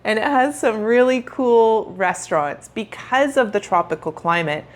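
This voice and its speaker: A woman's voice: on the high side, unhurried. A middle-aged woman from Canada, co-hosting a casual travel chat in an upbeat manner, laughing easily. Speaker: co-host.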